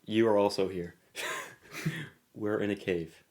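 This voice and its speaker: A man's voice: lowish voice, takes it slowly. A man co-hosting a podcast, chatting casually and comedically in a playful, rambling way. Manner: playful, rambling, animated banter. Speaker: podcast co-host.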